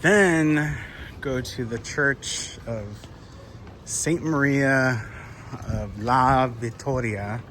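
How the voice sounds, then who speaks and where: A man vlogging a walking tour outdoors on city streets. He is slightly hoarse from a cold and rambles casually, thinking aloud with lots of fillers. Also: mid-range voice, drawn-out words, a bit bright. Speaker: travel vlogger. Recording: street noise behind the voice.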